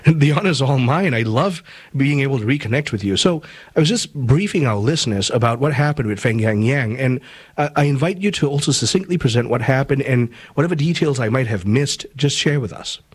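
A man from Malaysia hosting a talk show, giving measured commentary and then interviewing a guest. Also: mid-range voice, lively intonation, breathy edge. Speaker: host.

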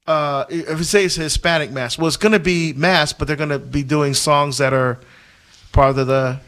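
Animated Barbados-born deacon, higher voice. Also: male voice, normal speed. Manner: animated storyteller, many fillers and restarts, frequent laughs. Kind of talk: casual conversational chat.

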